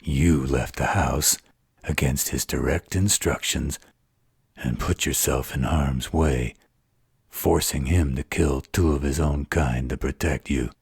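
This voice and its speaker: A man's voice: a bass voice, slow pace, husky, no room sound, studio quality, exaggerated voice. A man playing a snarky, distrustful supernatural fighter in an audio roleplay, with a mocking drawl. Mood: accusing.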